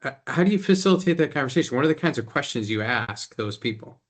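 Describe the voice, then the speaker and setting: A man's voice: medium pitch, expressive, fast talker. A man hosting a podcast who sums up his guest's points and then asks a question. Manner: recaps guest's points before asking. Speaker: podcast host. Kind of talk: interview questions.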